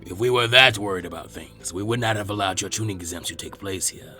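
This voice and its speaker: A man voicing a stern elderly samurai leader in an anime fan fiction reading, formal, measured and grave. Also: sits low, sharp tone, soft background music, voice pushed down. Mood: stern warning.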